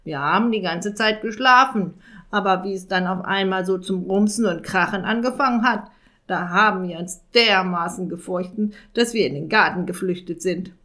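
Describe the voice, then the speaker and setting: A woman voicing a gloomy, complaining male raven with a croaking, rasping voice in a storybook reading. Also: mid-range voice, moderate pace, sharp tone, lightly acted. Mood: flustered. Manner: whining, long-winded complaining.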